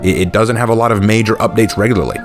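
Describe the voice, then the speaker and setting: Young man, relaxed, slightly low voice, faint music bed, casual off-the-cuff channel chat. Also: rapid-fire. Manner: relaxed, thinks aloud with fillers and restarts. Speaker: YouTube channel host. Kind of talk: casual unscripted talk to viewers.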